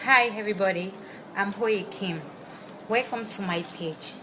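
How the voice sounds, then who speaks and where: A woman partly of Nigerian background, not a native English speaker, ranting bluntly to camera in halting English with many restarts. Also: medium pitch, background noise. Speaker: vlogger. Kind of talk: direct-to-camera rant. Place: Ivory Coast.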